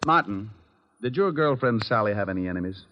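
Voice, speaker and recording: A man's voice: on the low side, expressive, brisk, a little hiss or hum, lightly acted. An actor playing a cool, wisecracking male private detective in a 1940s radio drama, breezy and needling. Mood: curious, persuasive. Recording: muffled old radio recording.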